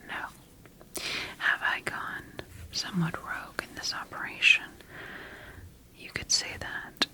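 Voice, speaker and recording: Whispering woman, slow ASMR scientist roleplay. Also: bright, forceful tone, low-quality recording. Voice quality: breathy, unvoiced whisper. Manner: slow, deliberate, with pauses. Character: quietly rogue female scientist studying her engineered creation. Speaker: ASMR performer.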